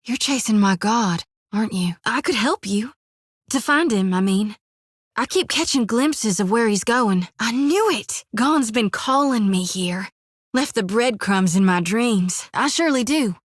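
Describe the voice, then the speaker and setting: An actress voicing a folksy, earnest young priestess of a death god in a video game, with a drawl, rambling and plainspoken. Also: female voice, on the high side, expressive, measured pace, no room sound, clean studio sound.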